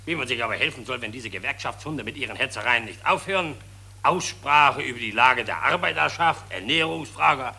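Harsh, creaky, brutal factory boss. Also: male voice, medium pitch, expressive, brisk, pushed and bright, exaggerated voice. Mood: menacing. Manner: menacing, self-righteous. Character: brutal whip-carrying wartime employer, hypocritically reasonable. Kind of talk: 1940s German radio play acting. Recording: vintage 1940s radio recording.

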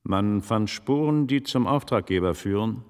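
Ein Schauspieler spielt in einem deutschen Hörspiel einen skeptischen, ungeduldigen Herrn, der als Ermittler spöttisch und bohrend nachfragt. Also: male voice, on the low side, animated, measured pace, darkish tone. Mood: impatient, stern. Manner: sardonic, probing, impatient. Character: sardonic, impatient gentleman investigator, skeptical and commanding. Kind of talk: German radio play acting.

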